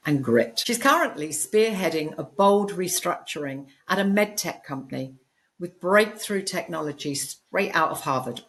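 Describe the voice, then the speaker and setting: Low-voiced woman podcast host. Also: slightly rough. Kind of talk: scripted intro then interview chat.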